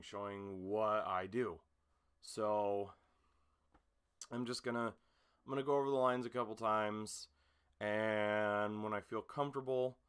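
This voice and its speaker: Casting: male amateur voice actor, slightly low clear voice, informal vlog talk, self-conscious and meandering. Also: fairly bright tone. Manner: hesitant, rambling, self-conscious. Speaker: amateur voice actor recording an audition video. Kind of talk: casual vlog chat. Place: small home room with a mattress against the door.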